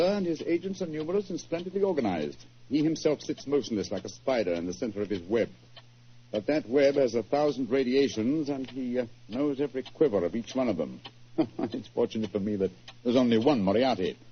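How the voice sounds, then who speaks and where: An actor playing a brilliant, self-assured British detective with precise, theatrical diction in a 1940s radio drama. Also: male voice, mid-range voice, a little fast, close to an everyday voice. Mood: intense, discoursing. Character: brilliant, self-assured English master detective, amused and incisive. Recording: muffled, noisy 1940s radio recording.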